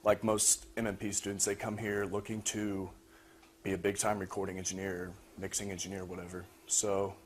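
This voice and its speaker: A young man with a fairly low voice gives an informal student presentation about his own work. The recording carries a little background noise. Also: little pitch movement, normal speed. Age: young adult. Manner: frequent throat clearing. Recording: noisy room recording.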